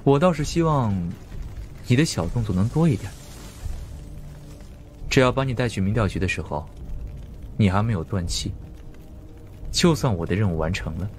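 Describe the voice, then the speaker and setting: A man voicing a menacing, coolly confident ghost hunter in an audiobook drama, taunting with deliberate threats. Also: lowish voice, animated, faint music behind, close to an everyday voice. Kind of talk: dramatized audiobook character acting.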